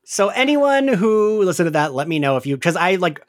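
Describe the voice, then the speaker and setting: A man co-hosting a casual recap chat, talking in an animated, conversational way with the odd swear word. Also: high-pitched, fairly quick, no room sound, clean studio sound. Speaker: podcast co-host.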